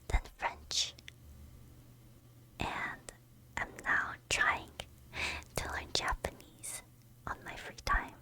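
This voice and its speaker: Female streamer, first language Korean, slow breathy whisper with a lot of ums, very high and sharp-toned. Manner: soft, unvoiced whispering; hesitant, with frequent ums and soft laughs. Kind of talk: whispered ASMR chat with chat readers.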